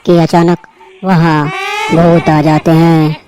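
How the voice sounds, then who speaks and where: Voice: medium pitch, flat delivery, slow, over music. A narrator reading a scripted horror story.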